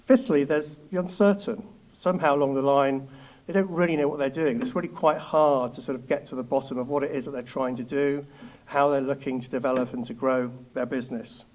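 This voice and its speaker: Male presenter from Spain, mid-pitched, measured and structured, delivering a prepared business talk as a firm's founder. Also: steady tone, darkish tone. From northwest Spain. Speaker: law firm founder giving a talk.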